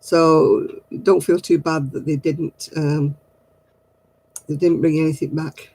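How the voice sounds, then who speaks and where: Husky man, chatty and giggly. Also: on the high side, little pitch movement, slow pace, a little mellow. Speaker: craft livestream host. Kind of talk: casual chat answering viewer comments.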